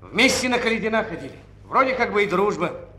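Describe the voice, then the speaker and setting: A cocky, flippant anarchist sailor. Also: male voice, high-pitched, sing-song, fairly quick. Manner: flippant, folksy, full of sayings. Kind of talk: 1960s film acting. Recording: roomy old film soundtrack with faint noise.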